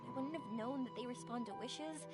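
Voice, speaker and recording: Woman playing a high-voiced, earnest young heroine. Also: fairly quick, close to an everyday voice. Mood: earnest, hopeful. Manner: earnest, candid. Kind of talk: video game voice acting. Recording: loud game music behind the voice. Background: game music.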